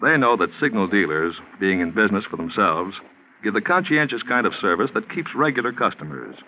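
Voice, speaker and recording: Animated 1940s male radio announcer. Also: on the low side, brisk, a little hiss or hum. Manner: formal, polished salesmanship. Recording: muffled 1940s radio recording, faint music.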